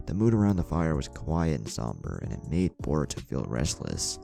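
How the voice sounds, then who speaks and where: A man's voice: a bass voice, sing-song, normal speed, gravelly, mellow. A man reading a scripted story aloud as narrator over music. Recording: music bed under the voice.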